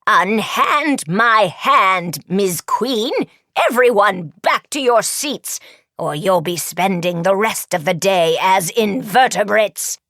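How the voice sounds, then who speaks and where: Slow, raspy ancient sorceress. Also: female voice, fairly low pitch, very animated, a bit bright, no room sound, exaggerated voice. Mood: indignant. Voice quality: dry, raspy, drawn-out. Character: ancient stern old sorceress teacher, dry and scornful.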